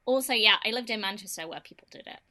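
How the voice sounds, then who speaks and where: Englishwoman, accent drifting Canadian, bright tone, wry and chatty on a podcast. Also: mid-range voice, lively intonation, fast talker. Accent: English, softened by Canadian. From England. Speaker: podcast co-host. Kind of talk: casual podcast chat.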